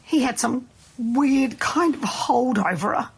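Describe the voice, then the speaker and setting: An actress playing a bitter, grieving middle-aged mother in an audio drama, halting as she speaks. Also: high voice, lively intonation, gravelly, breathy edge, full character voice.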